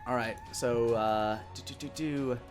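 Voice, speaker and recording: A man in his thirties narrates a tabletop fantasy game as game master. His pitch is mid-range and music plays behind him. He improvises the action and rulings on a close mic. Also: unhurried.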